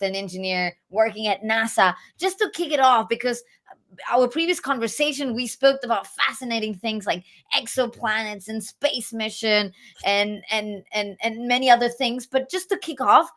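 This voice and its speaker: A woman's voice: a little high, moderate pace, fairly bright tone. Eager woman interviewer. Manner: eager, enthusiastic questioning. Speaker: interviewer, council director.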